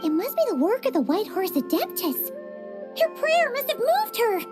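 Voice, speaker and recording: A squeaky, bubbly little fairy companion. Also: female voice, normal speed. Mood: excited. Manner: bubbly, excitable. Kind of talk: game cutscene voice acting. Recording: game music playing underneath.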